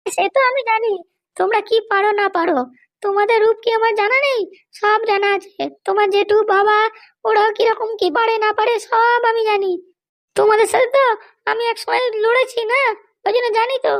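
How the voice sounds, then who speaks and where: A woman's voice: very high voice, fairly even intonation, brisk. A woman voicing a bossy, vengeful female politician and scolding wife in a comedy cartoon, haranguing and boastful.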